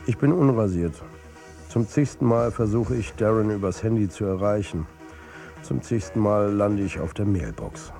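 A man's voice: lowish voice, moderate pace, vocal fry, warm tone, faint music behind. An actor playing a troubled football coach and family man, narrating his own story in a confiding way in a radio drama. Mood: tense, shaken. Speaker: narrator and lead character.

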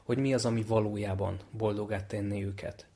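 A man giving an expert explanation in a measured, articulate, teacherly way. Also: fairly low pitch, fairly even intonation, dark tone. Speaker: clinical psychologist and lecturer, expert guest.

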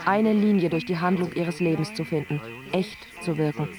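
A man's voice: on the high side, moderate pace, a crowd in the background, lightly acted. A man playing an urgent narrator in a radio drama, reciting script directions in staccato lists over music. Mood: driven. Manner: staccato, listing. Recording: radio play with music underneath.

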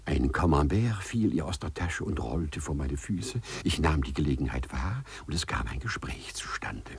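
An infatuated gentleman burglar in a fifties radio play. His voice is low and very husky, swinging widely in pitch. He speaks with ornate, old-fashioned courtesy, as if every phrase were a bow. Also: expressive, heavily acted. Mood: bashful, confiding. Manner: formal, florid, overpolite, halting politeness. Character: courtly, infatuated male gentleman burglar who walks through walls. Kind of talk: 1950s German radio play acting.

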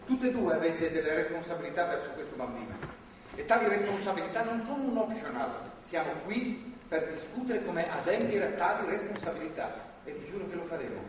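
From far off in a reverberant hall, a man plays a middle-aged, paternalistic man of faith. He lectures firmly and deliberately, with the self-righteous authority of a theatrical speechmaker. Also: a little high, animated, close to an everyday voice. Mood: firm, patronising. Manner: measured, preachy, authoritative. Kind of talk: theatrical stage acting, speechmaking. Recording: echoey theatre hall, distant mic, faint noise.

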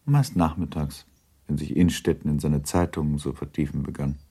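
A man narrating a period novel in a radio drama, measured and formal. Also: rumbling low, fairly even intonation, dark tone, breathy edge, exaggerated voice.

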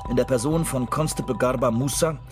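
An actor playing an officious police investigator in a radio drama, talking in a businesslike, official way. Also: male voice, medium pitch, a little fast, music in the background, lightly acted. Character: male police investigator, brisk, officious, in charge. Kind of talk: radio drama acting, dictating a formal report.

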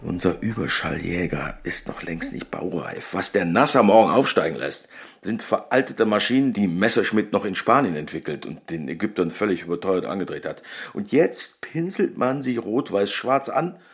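A man's voice: low-pitched, big pitch swings, normal speed. An actor playing a disdainful, sceptical German father and aircraft engineer in a radio play. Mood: scornful.

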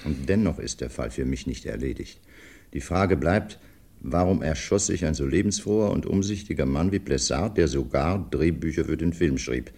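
Casting: gruff old-radio doctor, skeptical and pompous. Bottomless bass, husky rasp, 1950s drama style. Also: male voice, normal speed, darkish tone. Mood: insistent, curious. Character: stern, skeptical male doctor, proud, gruff sparring partner.

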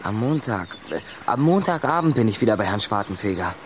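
A man's voice: lowish voice, sing-song, brisk, noisy background. A man playing a sceptical, teasing schoolboy with a questioning, hesitant manner in a children's radio play.

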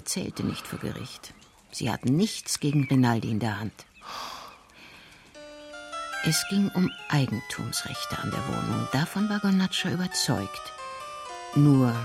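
A man narrates a scripted radio crime drama. His voice sits at a middle pitch, and music plays noticeably underneath.

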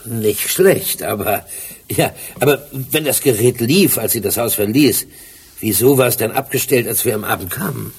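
An actor playing a sceptical police sergeant and sidekick in a crime radio drama, with a questioning, wry manner and a husky voice. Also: male voice, medium pitch, expressive, normal speed, exaggerated voice.

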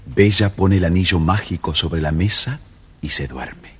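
Deep-voiced male storyteller. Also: measured pace. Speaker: storyteller, narrator. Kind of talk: scripted children's story narration.

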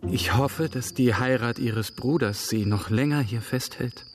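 An actor playing a courteous, regretful but hopeful gentleman suitor in a German radio drama. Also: medium pitch, a little slow, faint music behind, lightly acted. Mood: rueful, hopeful.

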